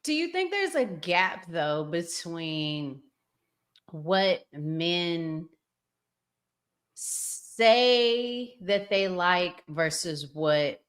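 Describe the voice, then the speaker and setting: Slow-paced American woman podcast host. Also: on the low side, sing-song. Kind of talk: casual conversational questioning.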